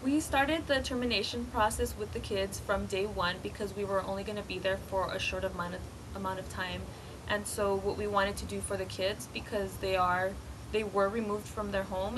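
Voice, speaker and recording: A woman's voice: medium pitch, level tone, moderate pace. A woman, a social work student, explaining earnestly in an interview. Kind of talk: interview testimonial. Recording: clearly noisy background ambience.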